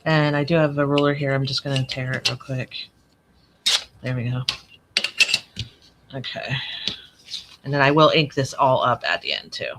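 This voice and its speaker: A woman with a raspy, allergy-worn voice, rambling and correcting herself as she talks through a live crafting tutorial at her desk. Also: a bass voice, normal speed, long pauses, background noise. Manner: chatty, rambling, self-correcting. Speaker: craft channel host.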